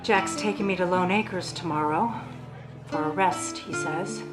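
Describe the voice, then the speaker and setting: A woman's voice: on the low side, a little slow, over music, lightly acted. An actress in a melodramatic radio play as an anxious, pleading wife who fears for her life. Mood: dread. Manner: fearful, pleading.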